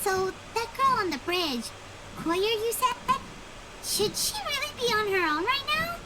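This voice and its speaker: Casting: small anxious fairy sidekick for a game, extremely high, very animated, childlike and caring. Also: female voice, measured pace, far from the mic, heavy background noise. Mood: concerned, earnest. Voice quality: squeaky, childlike. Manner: speaks of herself in third person, earnest. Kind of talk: video game character acting. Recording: loud game music behind.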